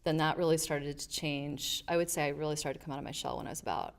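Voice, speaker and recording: A middle-aged woman, a guest on an interview podcast, telling stories from her life in an animated way and acting out the voices in them. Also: sits low, quick pace, vocal fry. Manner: animated storyteller, acts out quotes. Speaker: guest, leadership advisor. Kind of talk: casual interview storytelling.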